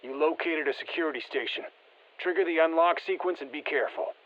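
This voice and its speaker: Folksy soldier over muffled radio. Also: male voice, a little high, a little fast. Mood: steady, matter-of-fact. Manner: colloquial, clipped tactical commands. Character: gruff, folksy male soldier, confident squad operative. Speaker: video game character voice actor. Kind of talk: scripted game voicelines, mission briefing.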